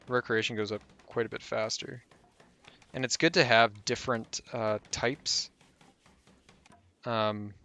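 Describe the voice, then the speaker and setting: A man's voice: medium pitch, sharp tone. A man talking casually over a strategy game guide while losing his voice, rambling and trailing off with fillers. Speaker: gameplay guide host.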